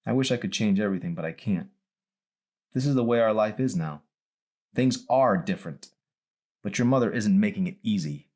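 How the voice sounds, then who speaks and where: A man's voice: lowish voice, lively intonation, normal speed, pauses often, a little mellow, voice pushed up. A man reading as a weary, defensive alcoholic father in an audiobook, pleading and confessional. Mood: regretful, weary.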